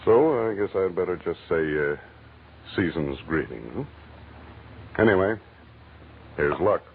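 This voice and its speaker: An actor playing a big, polite American stranger with a measured, insinuating manner, in 1940s radio drama style. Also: male voice, low voice, animated, phone-quality sound, full character voice. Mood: quietly menacing. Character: tall, heavy-set, calmly insinuating American stranger. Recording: thin, faintly hissy vintage radio recording.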